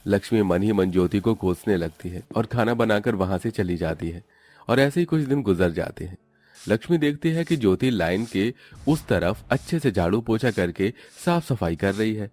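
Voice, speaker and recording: A man with a fairly low voice reads scripted story narration for a cartoon. The recording is close and very dry, like a studio voice-over. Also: expressive, moderate pace, a little mellow, no room sound.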